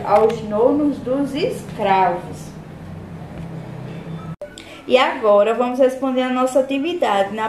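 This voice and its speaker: A woman's voice: fairly high pitch, a little mellow. A young adult woman with a Brazilian accent, a primary school teacher giving a scripted lesson to children in a classroom. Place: small tiled classroom, indoors. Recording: echoey room with background noise.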